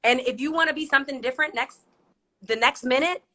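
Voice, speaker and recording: Bright-voiced woman founder as guest, relaxed and joking, keeps saying "listen". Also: sits high, quick pace. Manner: laid-back, playful, says "listen" a lot. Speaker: guest, wine brand founder. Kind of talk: casual chat.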